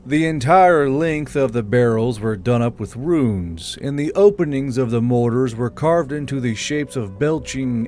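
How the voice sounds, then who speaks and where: A male narrator and channel host with a mid-pitched voice. He delivers fantasy fiction in the manner of an audiobook reader. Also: darkish tone.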